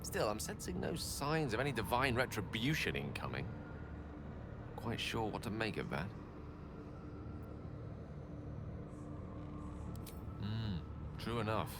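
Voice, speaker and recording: Low-voiced scholarly wizard. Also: male voice, animated, moderate pace. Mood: resolute. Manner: eloquent, scholarly, musing aloud. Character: learned, wordy male wizard, adult. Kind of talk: video game voice acting. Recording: game audio with clear background noise.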